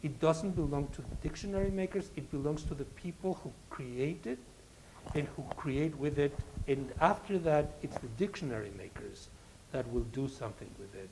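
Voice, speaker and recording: A man professor speaking in a public academic conversation, reflective, pausing with restarts and fillers. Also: on the high side, lively intonation, a little mellow, slight background noise, rough recording. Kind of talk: academic panel talk.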